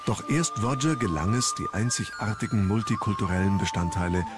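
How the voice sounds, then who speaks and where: A man's voice: on the low side, animated, fairly quick. A man reading scripted documentary narration as a narrator. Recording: loud music under narration. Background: loud background music.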